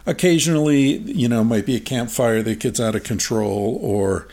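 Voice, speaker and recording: A man hosting an interview, explaining his ideas in a thoughtful way with frequent pauses and ums. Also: medium pitch, darkish tone. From United States. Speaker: podcast host. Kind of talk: casual interview conversation.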